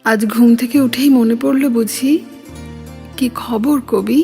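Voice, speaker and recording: A woman playing a poet's gentle, teasing beloved in a recited verse dialogue, with soft, coy phrasing. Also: fairly high pitch, fairly even intonation, a little slow, gravelly, soft background music. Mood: playful teasing. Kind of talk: dramatic Bengali poetry recitation as phone dialogue.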